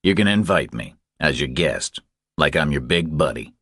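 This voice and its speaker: A man's voice: rumbling low, lively intonation, moderate pace, a bit bright, slightly rough, no room sound, clean studio sound, full character voice. A man voicing a tough, commanding male drifter in an audiobook, terse, controlled and taunting. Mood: firm, threatening. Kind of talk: audiobook character dialogue.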